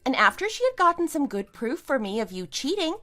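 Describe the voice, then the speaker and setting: A woman's voice: high voice, sing-song, fairly quick, sharp tone, faint music behind, heavily acted. A woman playing a scornful, triumphant wife in an audio drama, with a sarcastic, emphatic delivery. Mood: firm, accusing. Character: wronged wife, scornful and triumphant, kicking out cheating husband. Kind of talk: amateur audio drama acting.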